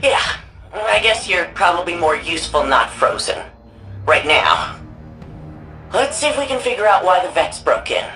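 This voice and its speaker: An actress playing an eager, eccentric sci-fi data researcher in a video game, excitable and quick-witted. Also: female voice, on the low side, soft background music, heavily acted. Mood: brisk, playful.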